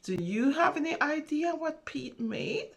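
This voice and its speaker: Female storyteller for preschool read-aloud. Leisurely delivery, very lively intonation, chatty asides and questions to young listeners. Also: sits high, a little slow, creaky. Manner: expressive, wondering, talks directly to young listeners, asking questions. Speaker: children's storyteller.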